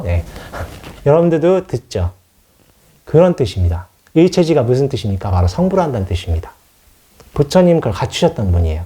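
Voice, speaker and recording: A man's voice: fairly high pitch, mellow. A man who is a Buddhist monk giving an informal dharma lecture on scripture, explaining as he goes and often answering his own questions. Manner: explanatory, poses questions and answers them himself.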